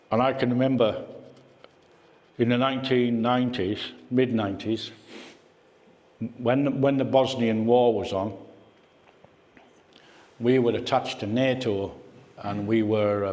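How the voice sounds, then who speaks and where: A man's voice: fairly low pitch, a little mellow. A man giving an informal club talk as guest speaker, conversational and reminiscing, putting questions to his listeners. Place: indoor club meeting room. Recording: echoey hall, club meeting room.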